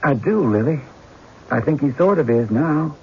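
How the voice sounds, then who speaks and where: Worried weary husband in old radio drama, really hoarse gravelly voice, bit high. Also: male voice, animated, normal speed, darkish tone, full character voice. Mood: troubled, earnest. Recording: dull old radio broadcast.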